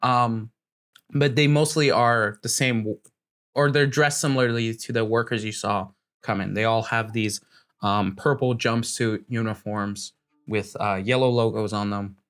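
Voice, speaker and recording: A man's voice: fairly low pitch, fairly even intonation, measured pace, a bit bright, clean tone. A man casually narrating a tabletop roleplaying game as the game master, improvising scene descriptions.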